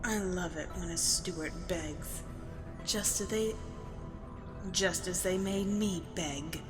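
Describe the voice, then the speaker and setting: A woman's voice: on the low side, slow. An actress playing a cruel young female captor who taunts and relishes the suffering of her prisoners. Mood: taunting. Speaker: villain in an audio drama. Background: loud dramatic music.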